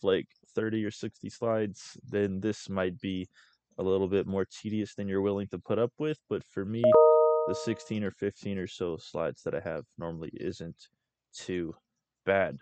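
A young man hosting a casual screen-recorded software tutorial as a voice-over. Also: low-pitched, no echo. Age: young adult. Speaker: tutorial host.

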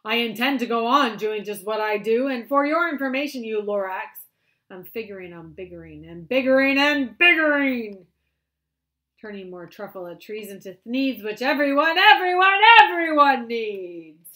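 A greedy, stubborn businessman, as a woman puts him on while reading aloud, ranting loudly. His high voice stretches out each boast in storybook fashion. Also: expressive. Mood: angry. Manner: loud, ranting, drawn-out emphasis. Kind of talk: reading a picture book aloud.

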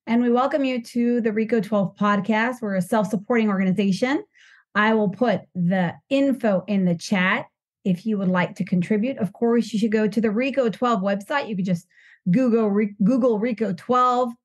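A woman's voice: medium pitch, normal speed. Upbeat woman hosting a podcast. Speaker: podcast host. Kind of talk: warm welcoming introduction over video call. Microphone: video call.

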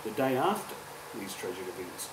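A New Zealand man in his forties speaking on a public panel, earnest and halting, with frequent restarts. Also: fairly low pitch, big pitch swings. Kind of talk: panel discussion. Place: university lecture room. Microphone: table microphone, distant. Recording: echoey room with background hum.

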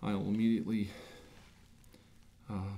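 Low-voiced earnest mission leader played by a man. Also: level tone, measured pace, dark tone. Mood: earnest, commanding. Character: earnest middle-aged male scientist leading an armed mission. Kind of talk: amateur sci-fi role-play, briefing a team.

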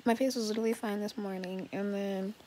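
Teenage girl, casual vlog chat, slightly raspy voice, phone held close, small bedroom, faint background hiss. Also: mid-range voice, fairly even intonation, fairly quick, warm tone, low-quality recording. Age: late teens. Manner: chatty, rambling, addresses viewers as guys. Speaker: vlogger. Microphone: phone held close at arm's length.

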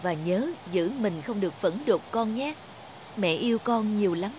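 A woman voicing a loving, wise cartoon mother raindrop in a soft, reassuring manner. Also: medium pitch, moderate pace, noisy background, lightly acted. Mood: tender, reassuring.

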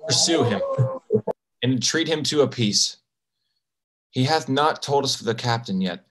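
A man's voice: medium pitch, animated, takes it slowly, a bit bright, low-quality recording. A young man playing a courteous duke in a Shakespeare comedy read. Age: young adult. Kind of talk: live table read of Shakespearean verse drama. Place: bedroom, video call.

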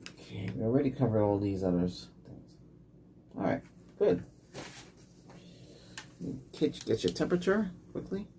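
A middle-aged man speaks in a fairly low voice with plenty of fry. He plays a doctor in an ASMR exam, feeding out brief questions and instructions. There is faint noise behind him in a small room. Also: big pitch swings, normal speed, long pauses, warm tone. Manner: short simple questions and instructions. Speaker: ASMR role-player acting as ER doctor. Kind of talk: ASMR medical exam roleplay, improvised. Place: small home room set up as clinic.

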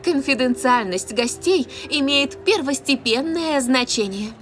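A courteous young cartoon hotel receptionist. Her high voice bounces lightly through formal, polite phrases. Loud music runs under her. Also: expressive, a little slow.

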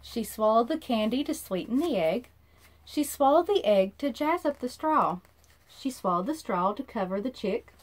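Woman in her thirties, higher-pitched voice, very varied intonation, sing-song children's read-aloud. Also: normal speed. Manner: animated, sing-song rhyming delivery. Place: small indoor room.

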